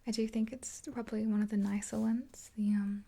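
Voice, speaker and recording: A woman in her twenties whispering a gentle ASMR roleplay in a breathy, unhurried, hesitant voice, close to the mic. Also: a little high, level tone. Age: 20s. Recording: hissy close recording with clicks.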